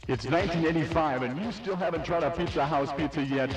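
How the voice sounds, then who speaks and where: A man's voice: on the high side, fast. A man reading a scripted commercial as a radio announcer. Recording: roomy student radio spot over music. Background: music.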